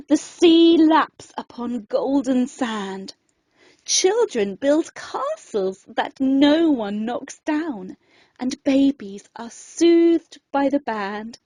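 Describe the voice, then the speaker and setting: Female children's storyteller, high voice with lively swings, theatrical read of rhyming verse. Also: no room sound. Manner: theatrical, deliberate rhyming delivery. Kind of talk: expressive scripted bedtime story narration in verse.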